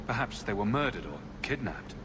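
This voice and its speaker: A man's voice: fairly low pitch, sing-song, moderate pace, echoey room, noisy background. A man playing a confident, direct adventurer hero in a fantasy video game. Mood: concerned. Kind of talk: video game dialogue acting.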